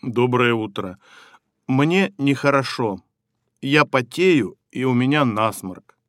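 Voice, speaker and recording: A man reads short phrases for a language course at a slow, deliberate pace, leaving a pause after each one. His voice sits at an ordinary male pitch and sounds neutral and measured. The recording is close and dry, with no room sound. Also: animated, fairly bright tone, no room sound. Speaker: phrasebook reader. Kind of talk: slow, clearly spaced phrasebook reading.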